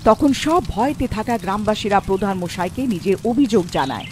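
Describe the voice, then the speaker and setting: A woman's voice: medium pitch, sing-song, brisk, a little mellow, slight background noise. A woman reading scripted fairy tale narration as a storyteller.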